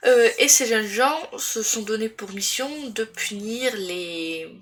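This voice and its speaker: A woman's voice: fairly high pitch, takes it slowly, a bit bright. French woman with a head cold. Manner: rambling with many fillers and restarts. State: congested with a cold. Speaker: booktuber. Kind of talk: casual book review vlog.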